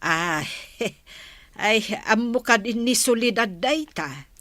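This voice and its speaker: A woman's voice: on the high side, lively intonation, slow, fairly bright tone, dry recording. A woman playing a proud, skeptical middle-aged village mother in a radio drama, with an Ilocano accent. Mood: grudging, sheepish.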